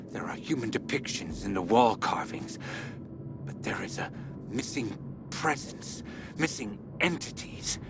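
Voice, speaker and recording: An actor playing an obsessed researcher sliding into messianic madness in a video game audio log, speaking haltingly and broodingly, at times breaking into whispers or cries. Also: male voice, medium pitch, vocal fry, noisy background. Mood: grim, certain. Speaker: expedition leader recording audio logs. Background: background music.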